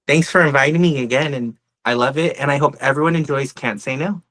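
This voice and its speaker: A man's voice: mid-range voice, brisk, a bit bright. A man hosting a podcast, chatting enthusiastically with his guests. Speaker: podcast host. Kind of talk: enthusiastic interview chat.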